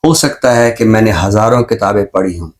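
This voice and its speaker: Pakistani man explaining in measured phrases. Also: fairly low pitch. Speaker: expert guest on a literary and social talk show. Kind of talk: reflective discussion.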